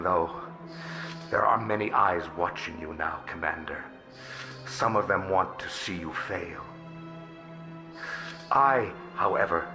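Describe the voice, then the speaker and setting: An actor playing a courteous, scheming alien diplomat in a video game, with formal, measured phrasing and questioning tags. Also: male voice, lowish voice. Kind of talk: video game character dialogue. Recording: loud music behind the voice. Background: game music.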